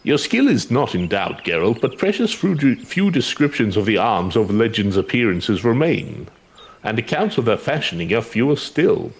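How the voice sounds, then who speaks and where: A man's voice: mid-range voice, big pitch swings, normal speed, slightly rough. A man voicing an elderly scholar in a fantasy game, earnest and anxious, with formal, archaic and hesitant speech. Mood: grave, doubtful. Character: elderly male scholar, courteous, earnest, anxious, devoted to research. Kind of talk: fantasy game dialogue read aloud.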